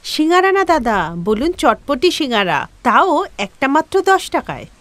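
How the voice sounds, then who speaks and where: A woman's voice: high voice, very animated, moderate pace, fairly bright tone, no echo. A woman voicing a caring, resourceful young wife and mother, earnest, in a cartoon moral story. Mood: hopeful.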